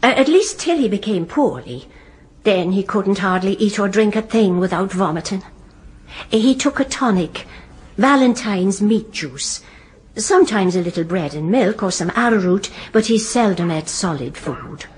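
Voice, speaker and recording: An actress playing a respectful household cook on the witness stand, with homely, slightly hesitant servant speech. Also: female voice, medium pitch, lively intonation, moderate pace, a little mellow, slight background noise, close to an everyday voice. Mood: respectful, earnest. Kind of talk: radio courtroom drama acting.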